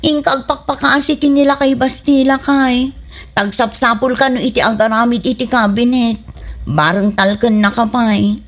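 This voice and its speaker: Woman as village wife and mother, high voice, bossy, nagging, shameless, radio comedy drama. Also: fairly even intonation, normal speed. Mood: complaining. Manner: nagging, insistent.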